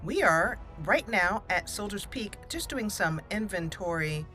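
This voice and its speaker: Bright-voiced woman gaming host. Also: mid-range voice, expressive, unhurried, soft background music. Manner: chatty, explanatory. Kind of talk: casual gameplay commentary.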